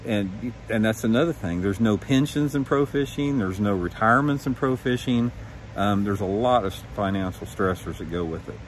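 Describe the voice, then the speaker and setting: A man's voice: fairly low pitch, moderate pace, slight background noise. A man in his fifties talking frankly and emphatically to camera, giving candid opinions like an experienced professional. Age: 50s. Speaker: professional angler and vlog host. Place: suburban backyard, outdoors.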